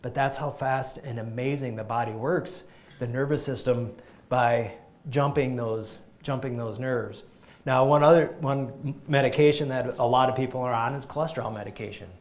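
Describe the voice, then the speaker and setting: A man, a chiropractor, giving an energetic live health talk to a group, emphatic and often restarting his sentences. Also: mid-range voice, moderate pace.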